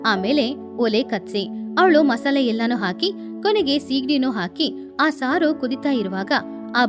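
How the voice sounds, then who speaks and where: Woman narrator for children's moral bedtime stories, high-pitched, over clear background music. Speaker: storyteller. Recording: music behind the voice.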